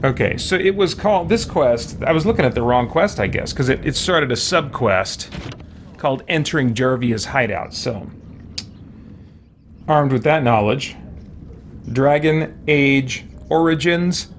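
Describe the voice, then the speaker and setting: A man's voice: mid-range voice, animated, measured pace, slight background noise. A man chatting casually on a game stream, thinking aloud with mild exasperation. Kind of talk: casual, muttering live stream chat.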